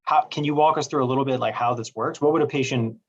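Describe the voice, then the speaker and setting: A man's voice: mid-range voice, quick pace, husky, highs cut off. A man hosting a medical webinar, asking questions in a loose, chatty way with plenty of fillers over a video call. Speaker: webinar host, doctor. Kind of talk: casual moderating of a medical webinar.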